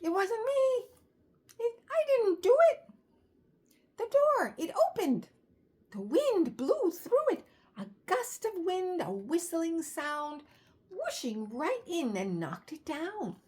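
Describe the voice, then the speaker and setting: A woman voicing an anxious young boy llama in a storybook, excitable and pleading as he fibs. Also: very high voice, slow, pitched above the performer's own voice, full character voice. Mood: frantic fibbing.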